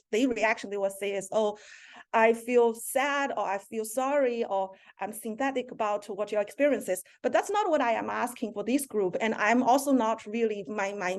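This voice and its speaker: Woman speaker, somewhat high voice, halting delivery with restarts, heard over a video call. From China. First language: Mandarin. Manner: halting, frequent restarts and self-corrections. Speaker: seminar speaker, professor. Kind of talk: virtual seminar talk.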